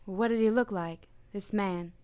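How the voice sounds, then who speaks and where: A woman's voice: mid-range voice, a little slow, mellow, clean tone, lightly acted. A woman playing a haughty, jumpy young woman in trouble in a radio play, speaking in a proper, indignant way. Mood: tense.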